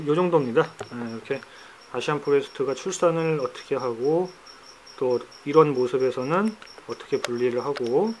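A middle-aged man with a hoarse, cracking voice, talking casually in a how-to vlog about his pets, recorded on a handheld phone indoors. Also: medium pitch, slight background noise, low-quality recording. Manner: chatty, teasing, drops into banter. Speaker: pet keeper vlogger. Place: indoors among pet enclosures.

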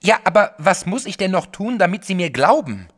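A man's voice: sits high, sing-song, moderate pace, fairly bright tone, full character voice. An actor playing an anxious, insistent wealthy man in a German audio drama, pleading his case.

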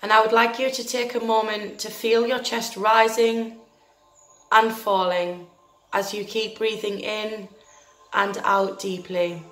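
A woman leading a guided meditation in a gentle, soothing, deliberate manner, leaving long pauses between phrases. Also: medium pitch, little pitch movement. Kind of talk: guided meditation, scripted narration. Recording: roomy echo with faint music behind.

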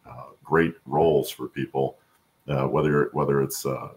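A middle-aged American man with a gravelly voice, chatting as an interview guest and often checking in with "right?". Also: rumbling low, flat delivery, measured pace. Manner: tags phrases with "right?". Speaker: guest, supply chain professional. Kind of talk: casual conversational interview. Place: home room, on video call. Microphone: video call.

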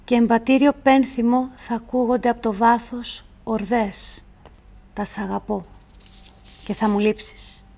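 A woman reciting literary poetry in a measured, deliberate way, with pauses between phrases. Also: on the high side, flat delivery, gravelly, dark tone. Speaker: poetry reader.

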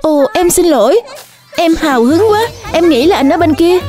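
A woman voicing a sweet, excitable little girl in a cartoon, eager and admiring in manner. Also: very high voice, moderate pace, faint music behind. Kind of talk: dubbed cartoon acting.

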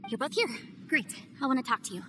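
An actress voicing a sharp young woman advisor in a game cutscene, brisk and earnest. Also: sits high, sing-song, slight background noise, exaggerated voice. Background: game music.

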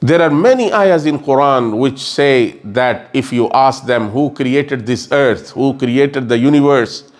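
A man's voice: medium pitch, measured pace, hardly any pauses. A middle-aged man giving a sermon lecture in a mosque, explaining earnestly and repeating phrases as he gestures, into a stand mic on a table. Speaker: religious scholar. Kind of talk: sermon lecture with Quran recitation. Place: mosque lecture room, indoors.

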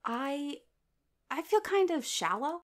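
A woman's voice: sits high, very animated, slow, heavily acted. A woman playing an earnest, hopeful young rookie comedian in an audio drama. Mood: earnest, anxious.

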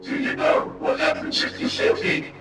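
A man voicing a taunting, clumsy dark spirit villain in an amateur cartoon dub. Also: normal speed, close to an everyday voice. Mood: menacing. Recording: roomy, distant, loud music behind.

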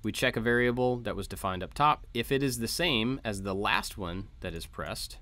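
A man's voice: mid-range voice, expressive, moderate pace, bright, forceful tone, no room sound. A man narrating an informal code walkthrough as a tutorial host, explaining things step by step. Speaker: host of a maker tutorial channel. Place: voice-over for a screen recording.

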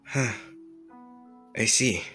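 A man playing a shy, kind teenage hero-school boy in an anime ASMR roleplay, speaking haltingly and apologetically, with stammers. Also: sharp tone, soft background music. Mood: gentle, reassuring.

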